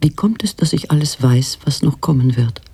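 Hushed, very breathy musing male author. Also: a little high, expressive, moderate pace, dry recording, heavily acted. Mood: hushed, intense. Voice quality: hushed, breathy, often half-whispered. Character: musing male author, the writer-narrator's second inner voice. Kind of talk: literary radio play monologue.

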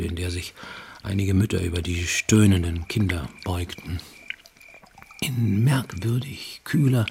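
An actor playing a haunted man who recounts childhood guilt in a halting, brooding radio-play monologue, at times sinking toward a whisper. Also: low voice, creaky, darkish tone. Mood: brooding, subdued. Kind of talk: German radio play, first-person confessional monologue.

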